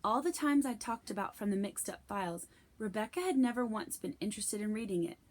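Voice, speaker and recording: A woman giving an expressive scripted reading of a children's novel as narrator. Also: mid-range voice, a little fast. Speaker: storybook reader.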